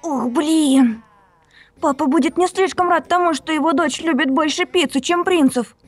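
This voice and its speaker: A woman's voice: high-pitched, normal speed, natural voice. A woman voicing a rude, grumpy young princess in an amateur visual novel dub, talking bluntly and crudely. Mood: grumbling.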